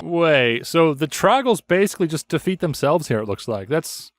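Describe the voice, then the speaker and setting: A man's voice: on the high side, expressive, normal speed, very noisy. A man giving sarcastic commentary while reacting to a cartoon. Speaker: video reactor.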